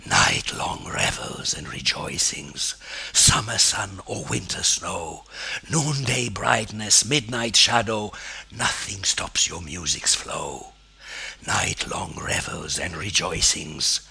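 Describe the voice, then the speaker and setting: A man reciting poetry in a theatrical, declamatory manner, with weighty pauses and a husky, gravelly, breathy voice. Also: lowish voice, sharp tone. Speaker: poetry reader.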